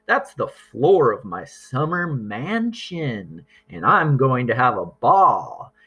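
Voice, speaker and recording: A man voicing a lively, bossy, imaginative young girl in a storybook, with eager, emphatic declarations. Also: medium pitch, slow, husky, faint music behind, heavily acted. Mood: insistent.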